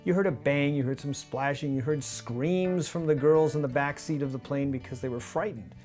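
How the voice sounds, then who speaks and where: A man in his fifties with a North American accent presents a scripted behind-the-scenes explainer in a measured, clear manner. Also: a little high, darkish tone, faint music behind. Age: 50s. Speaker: radio drama director and presenter. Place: small indoor studio office with monitors. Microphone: lavalier on shirt.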